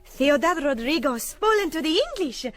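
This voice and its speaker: A high female voice as an excitable young woman. She speaks eagerly and with respect, in the manner of a radio play. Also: moderate pace. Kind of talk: radio drama acting.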